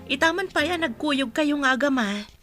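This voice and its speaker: A woman's voice: sits high, lively intonation, normal speed, music in the background. A woman acting an indignant young sister who protests emphatically in a radio comedy drama.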